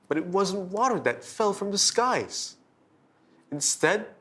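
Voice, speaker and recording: A man hosting and narrating a scripted bedtime story for children in a dramatic, deliberate storytelling manner. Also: sits high, a bit bright.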